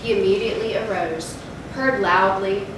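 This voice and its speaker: A woman's voice: steady tone, moderate pace, lightly acted. Woman as an uneasy storyteller in a cavernous echo. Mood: uneasy, intent. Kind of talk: dramatic readers-theatre recitation of a gothic story. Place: live theatre stage. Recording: very echoey stage, distant mic, noisy rumble.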